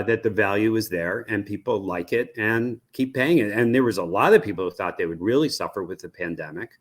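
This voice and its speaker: A man with an American accent giving a conversational expert interview, explaining things and thinking aloud with frequent asides. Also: lowish voice. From United States. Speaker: media research executive, interviewee.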